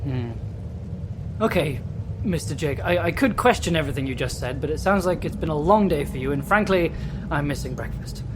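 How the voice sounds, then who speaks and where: A man's voice: on the high side, expressive, a little fast, music in the background, close to an everyday voice. A man playing a wry, mildly exasperated guide to the ghost world in an audio drama. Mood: dry, hesitant. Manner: hesitant, dry, put-upon.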